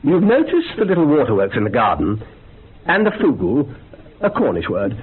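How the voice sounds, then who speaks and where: A man's voice: medium pitch, huge pitch swings, normal speed, slightly rough, exaggerated voice. An actor in a radio horror drama playing an eager, theatrical male ghost investigator who relishes grisly detail. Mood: macabre relish. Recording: thin phone-like radio recording, faint noise.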